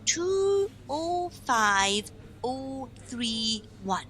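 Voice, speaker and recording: A woman's voice: high voice, big pitch swings, extremely slow, fairly bright tone, full character voice. A woman playing a flustered, well-meaning helpline office worker in a naturalistic radio play, speaking hesitantly. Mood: polite.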